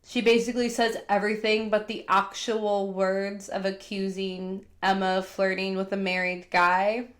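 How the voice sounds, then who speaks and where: A woman's voice: medium pitch, level tone, moderate pace, few pauses. A woman hosting a podcast, recapping pop culture in a casual, gossipy way. She fills her talk with "like" and sounds congested from a cold. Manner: chatty, heavy use of "like", gossipy retelling. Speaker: podcast host.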